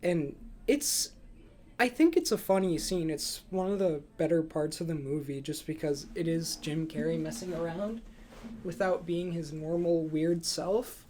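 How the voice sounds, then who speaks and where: A man's voice: on the high side, measured pace, warm tone. A young man talking casually as a podcast host about films, in an informal conversational style. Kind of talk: casual movie chat. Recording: clear background room noise.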